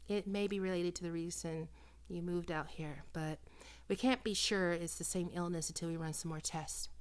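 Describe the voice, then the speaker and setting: A woman acting in an ASMR roleplay as a doting, possessive vampire posing as a small-town doctor, coaxing and teasing, often dropping into breathy whispers. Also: on the low side, natural voice. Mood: soothing, clinical. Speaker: doctor character in audio roleplay.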